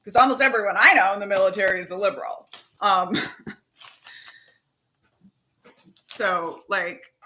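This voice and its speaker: A chatty woman hosting a casual talk, animated and outspoken, tossing in laughs and blunt asides. Her voice sits at an ordinary middle pitch. A faint hiss and some clicks sit under the recording. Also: slow pace, long pauses. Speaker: host. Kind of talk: casual chat to camera.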